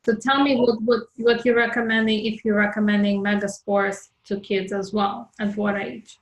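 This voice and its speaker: A woman's voice: mid-range voice, level tone, normal speed, reverberant, poor audio. A woman from Ukraine hosting an interview, agreeing and asking follow-up questions. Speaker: host.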